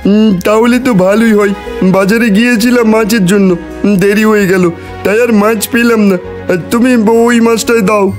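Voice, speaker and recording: A man's voice: sits high, level tone, normal speed, faint music behind, natural voice. A man voicing a thrifty, trusting village customer in a cartoon, talking conversationally. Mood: agreeable. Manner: haggling, conversational. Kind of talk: cartoon voice acting.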